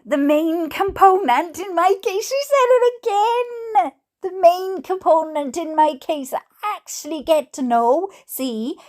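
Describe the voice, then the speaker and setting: A Welsh woman reads aloud in a notably high voice. Her pace is unhurried, and her delivery is expressive and playful, with asides in her own words. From Wales. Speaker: host reading letters aloud. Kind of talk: dramatic reading aloud with amused asides.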